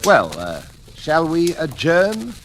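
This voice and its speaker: A man's voice: a little high, huge pitch swings, takes it slowly, fairly bright tone, full character voice. An actor playing a genial middle-aged father and host with a British accent, fussy and placating, in 1940s radio drama. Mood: nervously hearty. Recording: noisy old-time radio recording.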